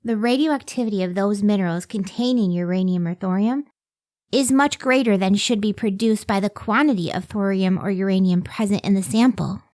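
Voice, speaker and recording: A woman's voice: mid-range voice, moderate pace, a little mellow, no room sound, clean studio sound, close to an everyday voice. A woman playing an earnest, modest scientist and devoted wife in an old-time radio drama, careful and emotive. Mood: hesitant, awed.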